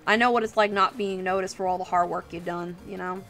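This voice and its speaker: A woman's voice: on the low side, steady tone, brisk, a bit bright. Chatty woman streamer with music behind. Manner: informal, encouraging, chatty. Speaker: livestream host and digital artist. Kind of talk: casual livestream chat while drawing.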